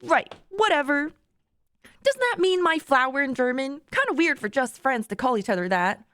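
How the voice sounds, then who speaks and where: A woman's voice: sits high, animated, normal speed, fairly bright tone, dry recording. A woman voicing a teasing, blunt young woman in a story, with a sing-song mocking manner.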